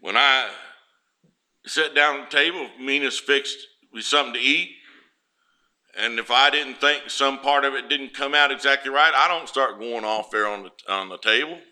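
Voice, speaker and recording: Loud folksy preacher with a bright voice. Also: male voice, medium pitch, moderate pace. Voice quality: naturally loud voice. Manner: homespun, storytelling, rises loud for emphasis. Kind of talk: folksy sermon.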